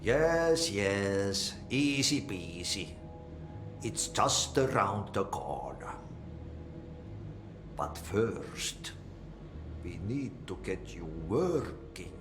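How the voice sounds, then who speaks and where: An actor with a Finnish accent playing an eccentric, cheerful janitor who talks slowly in a sing-song way and mangles idioms. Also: male voice, lowish voice, sing-song, roomy sound, music in the background, full character voice. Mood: rambling. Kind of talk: video game cutscene acting.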